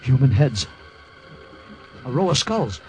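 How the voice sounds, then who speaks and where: An actor with an American accent playing a wisecracking soldier hero who narrates and acts in a vintage radio drama. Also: male voice, mid-range voice, very animated, takes it slowly. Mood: tense, vivid. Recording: old radio recording with music behind.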